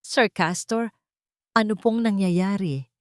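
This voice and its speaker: A woman voicing a meek but dignified young single mother in a story, soft and restrained. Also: mid-range voice, slow pace, dry recording, clean studio sound, lightly acted. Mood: meek, uneasy.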